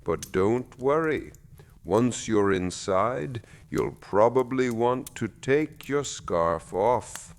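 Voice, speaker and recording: A man voicing a kindly, unhurried village gardener in a bedtime story, easygoing and reassuring. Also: medium pitch, expressive. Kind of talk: slow storybook narration.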